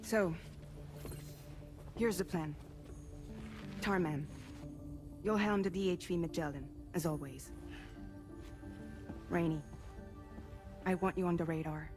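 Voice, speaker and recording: An actress playing a composed, grave woman commander in a video game, speaking with measured authority. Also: lowish voice, music in the background. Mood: commanding. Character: composed woman commander briefing her crew, grave and steady. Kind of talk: video game cutscene acting.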